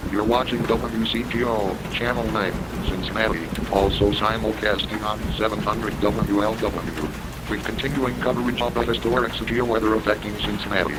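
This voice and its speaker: A man's voice: fairly low pitch, steady tone, normal speed, close to an everyday voice. A man playing a formal TV station announcer reading a scripted introduction. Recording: very noisy, rain and wind-like noise.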